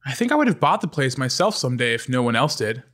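A man's voice: medium pitch, big pitch swings, quick pace, fairly bright tone. A man playing a cheerful, affectionate mother of two teenagers, upbeat and coaxing, in a comedy script read.

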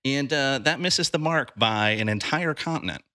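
A man hosting a podcast, speaking in a relaxed conversational way with many fillers. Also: medium pitch, normal speed, no room sound, studio quality. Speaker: podcast host. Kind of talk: conversational interview.

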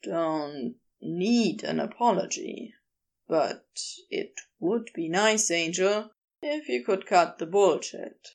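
A woman voicing a laconic, gruff but tender male supernatural being, soft-spoken and clipped in phrasing. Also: lowish voice, lively intonation, slow, voice pushed down. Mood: blunt, affectionate.

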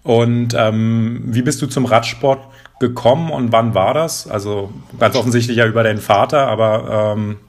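A man hosting a podcast, reading a calm scripted intro and then asking interview questions. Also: mid-range voice, normal speed.

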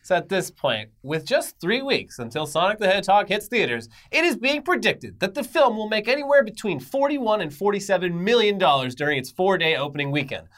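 A man's voice: high voice, big pitch swings, fairly quick, few pauses, fairly bright tone. A man co-hosting an entertainment news show with semi-scripted commentary and casual banter, emphatic, irreverent and sarcastic.

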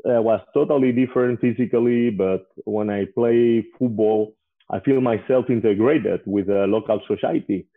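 A man in his forties from Spain, an English speaker who pauses often with "uh" as an interview guest. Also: on the low side, fairly even intonation. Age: 40s. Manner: hesitant, frequent "uh" fillers. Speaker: guest, investor. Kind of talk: casual interview. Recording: tinny phone-like line.

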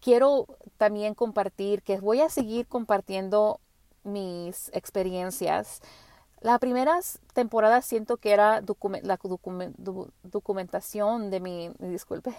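A woman whose first language is English hosting her spiritual podcast, talking gently with frequent restarts and fillers. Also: medium pitch, measured pace, no echo. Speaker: podcast host. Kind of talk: casual spiritual monologue.

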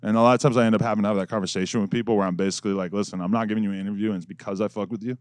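A man talking casually and rapidly in a studio interview, rambling and profane, often laughing. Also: on the low side, fairly even intonation, a little mellow, dry recording. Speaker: podcast host being interviewed.

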